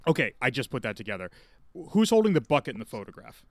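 A man's voice: mid-range voice, very animated, fast talker, dry recording. A man chatting casually as a tabletop game player, inquisitive and reasoning aloud.

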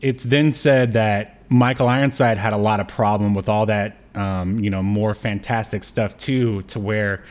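A man's voice: fairly low pitch, steady tone, measured pace, hardly any pauses, a little mellow. A man co-hosting a podcast, chatting casually and jokingly as he rambles through a recap of a TV show. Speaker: podcast co-host. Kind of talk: casual comedic recap chat about a TV show.

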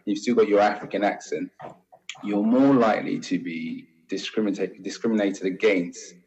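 Man, English accent, low voice, earnest, muffled lo-fi sound. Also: steady tone, normal speed, a little mellow. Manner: searching for words, earnest.

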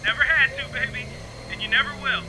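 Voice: sits high, quick pace, natural voice. Cocky wry pilot being acted in a sci-fi audio drama, super echoey n far from mic, firm and cool. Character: defiant, cocky spacecraft pilot, cool under threat. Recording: very echoey, distant, noisy craft.

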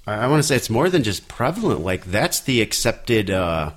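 A man's voice: lowish voice, big pitch swings, moderate pace, a bit bright. A man in his thirties, an artist co-hosting a podcast, talking in a rambling way and correcting himself as he goes. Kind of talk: casual podcast chat.

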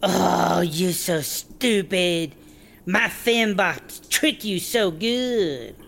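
A woman's voice: on the low side, very slow, vocal fry, full character voice. A woman playing a scheming, scornful startup boss who berates people in caricatured broken English in a comedy audio drama.

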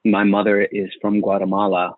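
A man telling his own story as an interview guest, measured and repeating phrases for emphasis. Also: fairly low pitch, completely flat delivery, dry recording. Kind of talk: reflective personal storytelling. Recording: muffled phone-like line, very dry.

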